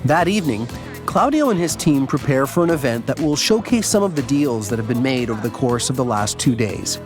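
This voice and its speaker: A man's voice: mid-range voice, big pitch swings, fairly quick. Polished male documentary narrator. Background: background music.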